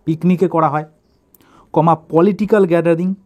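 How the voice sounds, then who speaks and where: A man's voice: fairly high pitch, steady tone, normal speed, darkish tone. A man teaching English writing, dictating in short separated phrases and then explaining them.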